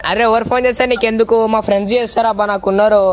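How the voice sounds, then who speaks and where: A man's voice: sits high, steady tone, rapid-fire, a little hiss or hum. A young man with a Telangana Telugu accent in casual, confrontational and insistent phone banter. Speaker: prank caller in a group of friends.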